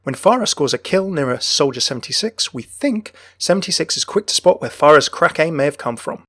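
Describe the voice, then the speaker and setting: Young man, quick pace, dry close recording, scripted gaming narration, enthusiastic host. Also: on the high side, animated, sharp tone, dry recording. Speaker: video game channel host. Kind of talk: scripted narration, lore commentary. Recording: dry close voice over music. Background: background music.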